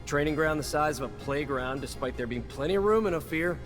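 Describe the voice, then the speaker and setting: An actor playing a cynical veteran soldier in a video game, gruff and blunt in manner. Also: male voice, fairly high pitch, lively intonation, brisk, natural voice. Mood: bitter, sarcastic. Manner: gruff, sardonic, blunt, swearing. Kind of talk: video game dialogue acting. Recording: music behind the voice.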